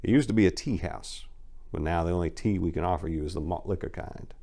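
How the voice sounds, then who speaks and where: A man doing a smooth, cool gangster baritone. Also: fast, mellow. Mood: calm, welcoming. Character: smooth, reassuring baritone street gang man. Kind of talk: dramatic reading of crime fiction.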